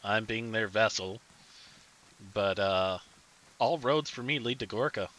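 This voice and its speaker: A man's voice: lowish voice, measured pace, fairly bright tone, low-quality recording. A man streaming a survival game, chatting casually and rambling with his teammates. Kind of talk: casual gaming chat with teammates.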